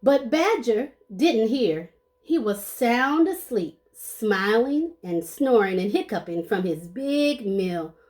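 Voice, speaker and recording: Animated woman reading a storybook, high and unhurried. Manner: expressive, dramatic sound words. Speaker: storybook reader. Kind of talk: animated children's read-aloud with short asides to listeners.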